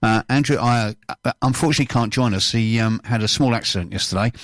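A man's voice: medium pitch, fast talker, no echo. A man hosting a radio chat show in a studio, teasing and dry, stumbling over restarts. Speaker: radio host.

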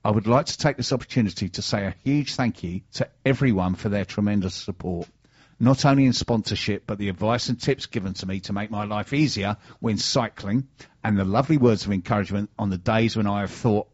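A middle-aged British man hosts a radio show in a fairly low voice. He moves between casual chat and sponsor messages. The recording sounds a little dull and band-limited. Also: a little fast, a little mellow, slightly rough.

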